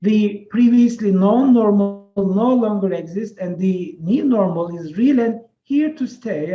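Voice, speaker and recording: A man whose first language is Turkish, an associate professor giving a measured, prepared webinar talk with some fillers. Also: high voice, mellow, roomy sound, highs cut off. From Turkey.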